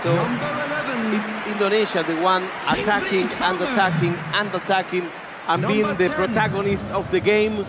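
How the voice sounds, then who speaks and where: Man, Argentine Spanish accent, friendly co-commentator, repeats phrases, high voice, noisy venue. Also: fairly even intonation, normal speed, over a noisy crowd. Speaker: esports football co-commentator. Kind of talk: color commentary. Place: live event venue. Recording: loud noise behind the voice.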